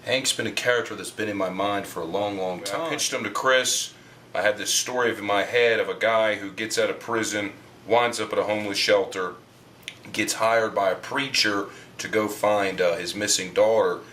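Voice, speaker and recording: A man with a deep voice gives interview answers for a documentary. His delivery is halting yet emphatic, and faint noise sits behind him. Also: fairly even intonation, sharp tone. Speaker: comic book artist.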